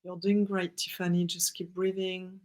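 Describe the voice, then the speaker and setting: A breathwork facilitator guiding a client through a breathing session with gentle instructions and spoken affirmations. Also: medium pitch, flat delivery. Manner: slow, gentle, soothing instructions.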